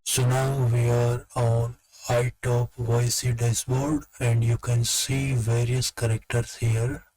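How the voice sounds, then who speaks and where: Slow, even-voiced man giving a tutorial. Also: mid-range voice, rough recording. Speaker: software demonstrator. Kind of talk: step-by-step tutorial narration.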